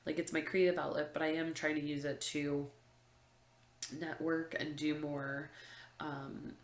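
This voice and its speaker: A woman's voice: sits low, flat delivery, a little slow, reverberant. A young woman with a stuffy, congested voice chatting casually to camera as a vlogger, with lots of ums and likes. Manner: chatty, many ums and likes, laughs often. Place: room with window open, indoors.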